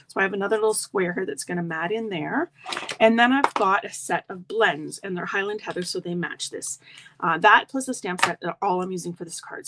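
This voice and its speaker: 話し好きな女性のクラフト講師. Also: female voice, medium pitch, gravelly, noisy background. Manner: chatty, friendly asides to viewers. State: slightly froggy voice. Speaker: card-making demonstrator. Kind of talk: live craft tutorial, chatty.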